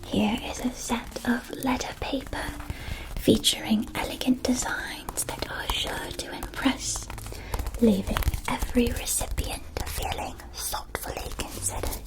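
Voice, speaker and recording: A woman playing a doting, persuasive magical shopkeeper in an ASMR roleplay, speaking in a soft, breathy, gushing whisper. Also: a little high, steady tone, rough recording. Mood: enchanted, gushing. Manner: slow, gushing, doting sales patter. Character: female magical stationery shopkeeper, doting, persuasive, theatrically enthusiastic.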